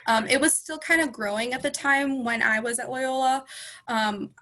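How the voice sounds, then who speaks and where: A woman's voice: high voice, fairly even intonation, normal speed, sharp tone. A young woman in her twenties chatting casually as a guest speaker, with lots of ums and restarts. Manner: chatty, many ums and self-corrections. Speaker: guest speaker, former student. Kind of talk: casual panel conversation.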